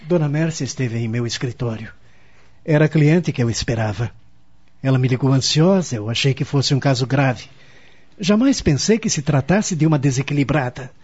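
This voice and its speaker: An actor playing a firm, earnest lawyer and protective husband in a radio soap opera. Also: male voice, medium pitch, normal speed, darkish tone, slightly rough, natural voice. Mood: reassuring. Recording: slightly muffled studio recording.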